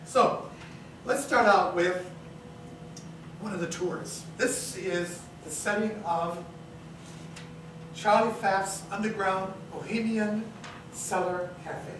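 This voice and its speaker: A man's voice: high voice, steady tone, takes it slowly, long pauses, fairly bright tone, far from the mic. A man co-presenting a staged reading, explaining off the cuff and searching for his words. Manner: hesitant, searching for words, repeats himself. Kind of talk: unscripted spoken introduction. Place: indoor theater, staged reading. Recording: echoey, noisy theater recording from off.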